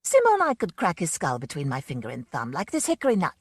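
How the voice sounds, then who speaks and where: A coarse, teasing young bride from a circus tale, as a woman reader plays her. She sneers at her tiny husband and swings her pitch up and down with relish. The delivery is close and dry. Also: wildly swooping pitch, fast talker, slightly rough, no room sound, full character voice. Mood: contemptuous.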